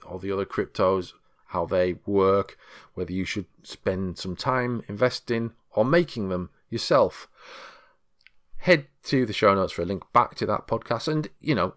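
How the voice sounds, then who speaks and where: Low-voiced man hosting a tech podcast. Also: a bit bright, no room sound, clean studio sound. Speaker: podcast host. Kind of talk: conversational tech podcast presenting.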